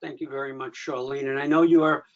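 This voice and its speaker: A man's voice: mid-range voice, little pitch movement, fast talker, highs cut off. A man moderating an online meeting, thanking speakers briefly and courteously and passing on questions.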